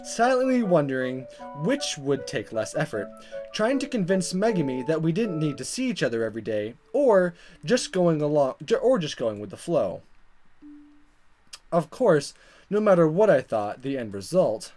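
A woman's voice: sits low, very animated, normal speed, dark tone, faint music behind. A woman hosting a let's play, reading dialogue aloud dramatically and commenting in a playful, exaggerated way. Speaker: gaming video host.

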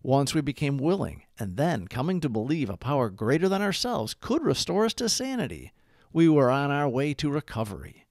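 A man narrating a scripted daily recovery reflection as a devotional read-aloud, with measured, emphatic phrasing. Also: mid-range voice, very animated, a little mellow, dry recording, studio quality.

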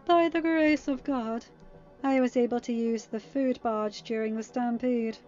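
A woman voicing a smug, taunting young male villain in an audiobook-style story, with a sneering, deliberate manner. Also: fairly high pitch, steady tone, a little mellow, lo-fi sound, soft background music, lightly acted.

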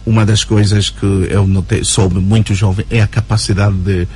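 A writer and journalist as a radio interview guest, talking in an animated way with many fillers and tag questions. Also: male voice, fairly low pitch, moderate pace, a little hiss or hum. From Angola. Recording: radio broadcast recording.

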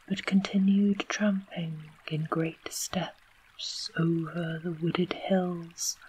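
A storyteller reading a fairy tale aloud entirely in a soft whisper. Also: medium pitch, a little hiss or hum, poor audio. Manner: slow, unvoiced whisper throughout.

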